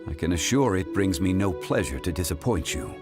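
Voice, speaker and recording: An actor playing a calm, ancient, weary male god in a video game, speaking in a measured, formal, grave way. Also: low voice, loud music behind the voice. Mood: regretful, firm.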